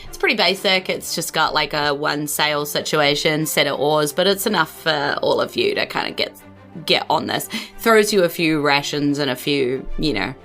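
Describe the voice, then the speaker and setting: A woman's voice: low voice, moderate pace, fairly bright tone, soft background music. A woman with a New Zealand accent, narrating a tabletop game as game master in an informal, chatty way.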